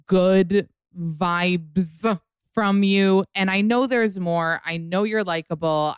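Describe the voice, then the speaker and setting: A woman's voice: on the low side, smooth, no room sound, clean studio sound. A woman podcast host talking casually and chattily, with lots of "like" and "you know". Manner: bubbly, gossipy, many fillers like "like" and "you know". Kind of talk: casual interview chat and ad read.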